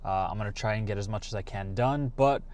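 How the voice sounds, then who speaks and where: A man's voice: on the low side, fast talker, darkish tone. A young man talking casually to camera as a vlogger, rambling with lots of fillers and restarts.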